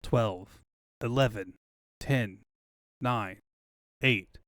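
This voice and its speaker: A man voicing a flat, methodical bomb countdown in an amateur audio drama. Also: lowish voice, very slow, no room sound, clean studio sound.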